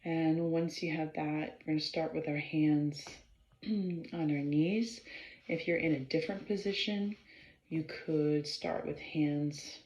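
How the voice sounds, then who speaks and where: A woman leading a guided meditation in a measured, halting way, pausing between short phrases and often clearing her throat. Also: low-pitched, darkish tone. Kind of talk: slow guided meditation instruction. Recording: roomy, slightly dull sound.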